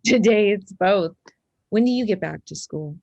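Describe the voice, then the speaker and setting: A woman's voice: medium pitch, animated, moderate pace, gravelly, darkish tone. A woman reading the part of a guarded, anxious but wry teenage snowboarder girl at a screenplay table read. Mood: wry, friendly.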